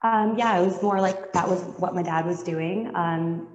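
A woman from Canada answering interview questions, with many pauses and restarts. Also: fairly low pitch, faint music behind. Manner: hesitant, many fillers and restarts. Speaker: guest pilot. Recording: dull, echoey remote audio.

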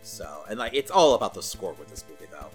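A man's voice: deep voice, sing-song, a little fast, faint music behind. A man co-hosting a podcast, chatting informally like a longtime fan. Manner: informal, nostalgic fan talk. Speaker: podcast co-host. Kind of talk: casual chat.

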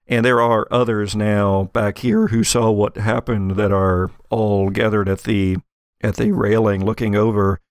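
Guy game mastering a tabletop rpg, gravelly voice, very dry close sound, conversational. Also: lowish voice, no echo. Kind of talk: improvised tabletop narration.

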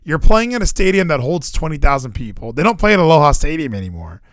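A man's voice: fairly high pitch, fast, dry recording, clean studio sound. An American man in casual sports chat, rambling and opinionated, swearing freely as he goes. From Hawaii, United States. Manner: rambling, animated, profane, opinionated.

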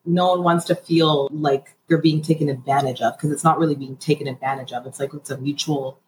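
Giggly, frank woman with a lower voice. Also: steady tone, fairly quick, background noise. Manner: frank, giggly, heavy use of "like". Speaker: podcast guest. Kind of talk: casual chat.

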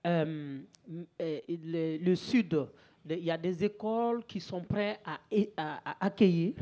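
A woman from Mali, answering questions on a panel in a halting way, repeating words and often saying "voilà". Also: fairly low pitch, mellow. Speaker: panel speaker, head of an education organization. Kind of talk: answering questions through an interpreter.

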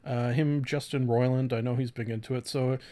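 A man in his thirties hosting a casual solo podcast, talking in a halting, rambling way with offhand swearing and frequent self-corrections. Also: mid-range voice, steady tone, mellow. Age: 30s. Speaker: podcast host.

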